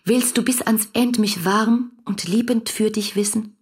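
A woman consumed by love in a literary radio drama. Her voice is husky and breathy, sometimes sinking toward a whisper. She delivers lyrical, declamatory lines with fervent yearning. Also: a little high, fairly even intonation, unhurried, no echo, clean studio sound, exaggerated voice. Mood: pleading, tender. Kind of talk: literary German radio play, letter monologue.

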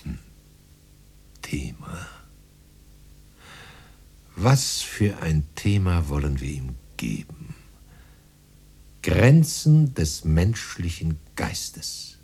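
An actor playing a modest, eager and fussy poet in a German radio drama, husky and musing, muttering to himself. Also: male voice, low-pitched, very animated, very slow, pauses often, warm tone, heavily acted. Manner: musing, hesitant, muttering as he reads.

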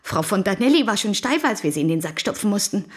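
An actress playing an anxious, indignant, reluctant heiress in a radio play, scornful in manner. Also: female voice, medium pitch, lively intonation, fast talker, natural voice.

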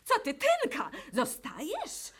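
A woman's voice: very high voice, huge pitch swings, takes it slowly, a bit bright, heavily acted. An actress playing a bossy, fussing, gossipy mother who nags and scolds in a theatrical radio play. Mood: nagging. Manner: nagging, scolding. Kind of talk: theatrical radio drama acting.

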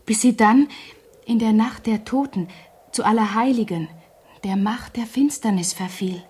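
An actress playing a fearful, superstitious village wife, breathy and hushed, in a 1970s radio drama. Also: female voice, a little high, measured pace. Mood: dread, warning.

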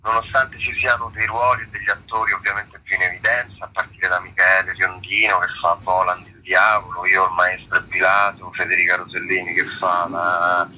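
A man's voice: deep voice, level tone, moderate pace, hardly any pauses, sharp tone, slight background noise, low-quality recording. A man, an actor giving reflective, conversational interview answers and searching for his words. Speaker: actor being interviewed about a play.

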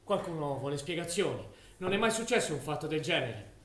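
A man's voice: fairly high pitch, big pitch swings, a little fast. A man, a stage actor, performing dramatic scripted narration as a theatrical storyteller. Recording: echoey theatre recording with faint noise.

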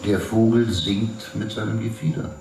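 Roomy distant sound, smooth evasive official, lowish male voice, polished and teasing, radio play, video-screen transmission. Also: fairly even intonation, normal speed, noisy background. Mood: teasing, soothing. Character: Nico, smooth, evasive city official charming a friend. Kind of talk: German radio drama acting.

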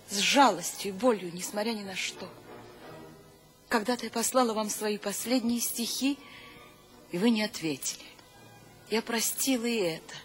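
A woman's voice: fairly high pitch, lightly acted. An actress playing a solemn, commanding female poet and conspirator, grave and urgent, in a radio drama. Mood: solemn, forgiving. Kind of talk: theatrical radio drama acting. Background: music.

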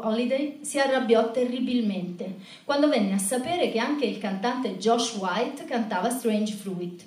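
A woman's voice: medium pitch, a little mellow. A woman performing a spoken monologue and telling stories as part of a live show. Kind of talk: spoken monologue and storytelling to an audience. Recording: echoey room from far off, music behind.

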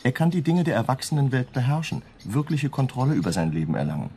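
An actor in a German radio play voicing a smug, seductive doctor with a lecturing, suggestive manner. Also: male voice, mid-range voice, expressive, fast talker, mellow, slight background noise, close to an everyday voice. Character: smug, seductive male doctor, the wife's lover.

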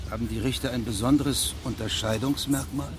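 An actor in a radio drama playing a stern judge who questions people with measured authority. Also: male voice, medium pitch, steady tone, noisy background, close to an everyday voice. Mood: probing. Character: stern, probing traveling judge of fifteen years' standing.

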